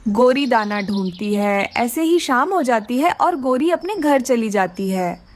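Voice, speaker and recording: A woman's voice: on the high side, expressive, unhurried, no room sound. A woman narrating a scripted children's story.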